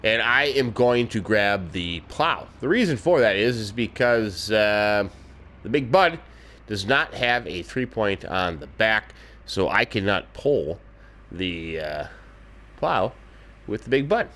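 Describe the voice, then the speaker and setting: Folksy low-voiced man narrating farm gameplay. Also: expressive, takes it slowly, fairly bright tone. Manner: folksy, chatty, mild exclamations. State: slightly stuffy nose. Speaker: let's play host. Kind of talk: casual gameplay commentary.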